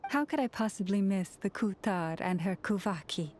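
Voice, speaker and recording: A woman's voice: medium pitch, animated, normal speed, darkish tone, slight background noise, close to an everyday voice. A woman playing a composed, dutiful young priestess leader in a video game, speaking formally and courteously. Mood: polite, gracious. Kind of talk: video game character acting.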